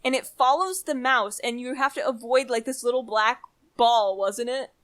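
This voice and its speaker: Podcast co-host: young woman, higher-pitched, casual, animated, exasperated storyteller. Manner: animated storytelling, exasperated emphasis with drawn-out words. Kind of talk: casual chat.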